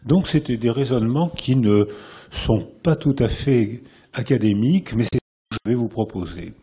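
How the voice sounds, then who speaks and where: A man with a French accent giving a public lecture in a hall, lively and repetitive, often restarting his phrases. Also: mid-range voice, normal speed, husky. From France. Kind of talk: public lecture to a live audience.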